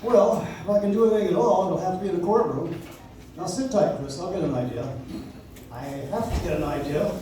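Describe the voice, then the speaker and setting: A man's voice: high voice, a little fast, natural voice. A man playing an earnest, loyal lawyer in a live staged radio play. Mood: flustered resolve. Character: earnest, loyal young lawyer pleading with friend. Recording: roomy, distant audience recording with noise.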